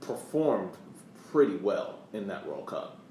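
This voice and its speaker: A man's voice: low-pitched, sing-song, slow, warm tone. A man co-hosting a sports podcast, talking informally and with emphasis. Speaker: podcast co-host. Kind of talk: casual sports podcast chat. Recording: roomy echo, background noise.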